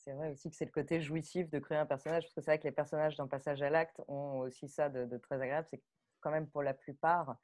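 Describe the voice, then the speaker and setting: A woman audio fiction director explaining her craft off the cuff in a live online talk, halting and animated as she thinks aloud. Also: low-pitched. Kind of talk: spontaneous spoken explanation at a live online masterclass. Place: bedroom, on a video call.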